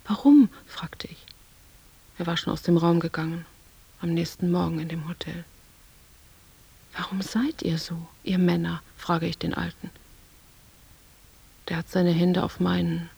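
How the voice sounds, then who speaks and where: An actress playing an intense, uneasy woman in a literary radio play, narrating her memories and fears in terse, clipped phrases with a creaky voice. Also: fairly low pitch, level tone, normal speed, pauses often, mellow, exaggerated voice. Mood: hurt, reflective. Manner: terse, clipped phrases, at times near whispering.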